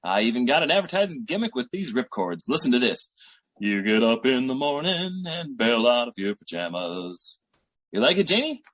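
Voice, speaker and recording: Raspy bright voice, man acting boastful scheming boyfriend, slangy hustler patter, 1940s radio comedy, swooping delivery. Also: medium pitch, sing-song, normal speed. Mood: boastful, excited. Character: fast-talking, boastful small-time schemer boyfriend, eternally scheming big deals.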